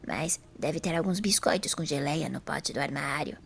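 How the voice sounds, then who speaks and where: A woman playing a sweet, frail, apologetic old lady of about seventy with a hoarse voice in an audio drama. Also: low voice, moderate pace, heavily acted. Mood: apologetic, hospitable. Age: older. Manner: sweet, gentle.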